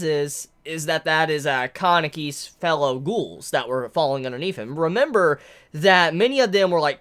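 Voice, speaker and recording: A woman giving a chatty, excitable live manga reaction while congested from a head cold. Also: deep voice. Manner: excitable, chatty, exclaims often.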